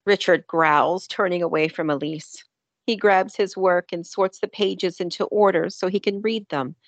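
A woman's voice: fairly low pitch, steady tone, normal speed, dry recording, muffled recording. A woman reading scripted narration for a radio play.

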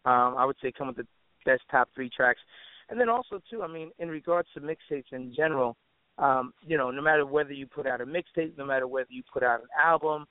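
A man's voice: medium pitch, fast, dry recording. Male musician guest, laid-back and conversational, on a dry, thin phone connection. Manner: laid-back, peppered with "you know". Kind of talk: casual interview chat. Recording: tinny, narrow phone line.

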